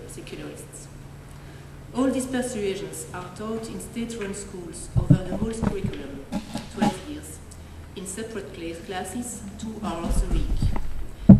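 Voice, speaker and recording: Careful woman reading, very echoey hall. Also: mid-range voice. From Belgium. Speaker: panelist. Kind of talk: reading a prepared statement. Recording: distant, very echoey hall with noise.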